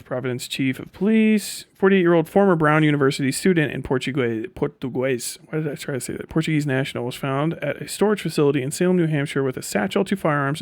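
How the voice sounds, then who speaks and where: A man's voice: fairly high pitch, no room sound. A man chatting casually as a live streamer, rambling and sardonic, reading things aloud and swearing offhandedly.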